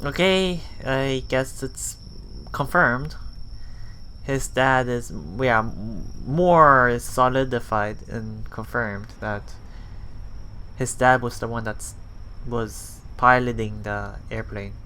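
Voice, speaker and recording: A man's voice: mid-range voice, takes it slowly, sharp tone, a little hiss or hum, poor audio. A man reading a visual novel aloud as a let's play host, stumbling and rereading lines as he goes. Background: faint music.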